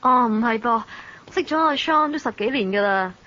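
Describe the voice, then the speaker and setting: A woman's voice: a little high, animated, normal speed, pushed and bright, highs cut off. An actress playing a spirited, teasing young woman with a barb under her politeness, in a Hong Kong Cantonese radio drama. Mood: sulky. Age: young adult. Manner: teasing, pert, pointedly sarcastic.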